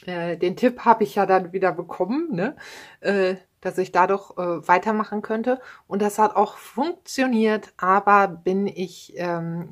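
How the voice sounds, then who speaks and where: A woman in her thirties casually chatting about her books at home, with many fillers and little tag questions. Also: on the low side. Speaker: book vlogger. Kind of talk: casual book chat. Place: home room with bookshelves, indoors.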